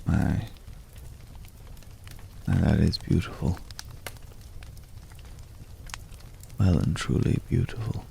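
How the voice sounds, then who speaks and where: Man playing a very gravelly, slow-spoken brooding gentleman. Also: low-pitched, slight background noise, exaggerated voice. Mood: gentle wonder. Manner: archaic, florid, hesitant, pensive phrasing. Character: mysterious, courtly, world-weary man at a night campfire. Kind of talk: slow, intimate ASMR roleplay acting.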